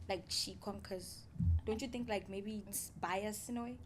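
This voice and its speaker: A woman's voice: mid-range voice, steady tone, moderate pace, background noise. A young woman co-hosting a student podcast, asking questions in a playful, teasing way and laughing often. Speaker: podcast co-host. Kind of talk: casual interview chat.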